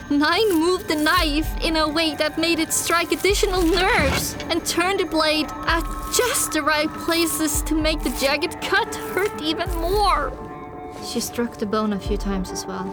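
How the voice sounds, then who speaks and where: A woman narrating an audiobook in the first person, dramatic, grave, deliberate and intense. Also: sits high.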